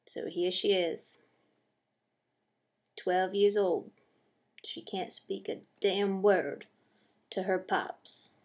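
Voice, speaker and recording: A woman acts out a bitter old grandfather in a dramatic monologue. The speech is slow, plainspoken and gruff, with creak in the voice. The temper is stubborn and wounded, grumbling with resignation. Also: mid-range voice, steady tone, darkish tone. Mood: aggrieved.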